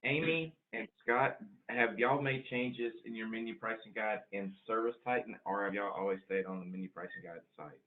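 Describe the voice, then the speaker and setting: A man moderating an informal business call, halting and repeating words as he goes. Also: fairly low pitch, a little hiss or hum. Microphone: conference call line. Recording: narrow, tinny phone line.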